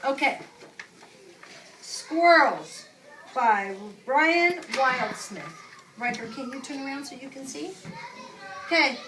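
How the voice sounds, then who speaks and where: Woman, preschool teacher, high voice, read-aloud style, simple repeated phrasing, distant mic, clearly noisy background. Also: takes it slowly, long pauses, a bit bright, echoey room. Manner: simple, instructive phrasing, often repeating key words. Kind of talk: read-aloud to young children with questions and asides. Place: indoor classroom with young children.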